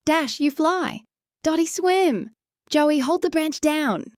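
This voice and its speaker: Woman voicing a small earnest koala, pitched up high. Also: lively intonation, takes it slowly, a little mellow, no room sound, clean studio sound. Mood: firm, urgent. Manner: earnest, rhyming, declarative speech. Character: small grey koala, thoughtful, lonely, earnest young male.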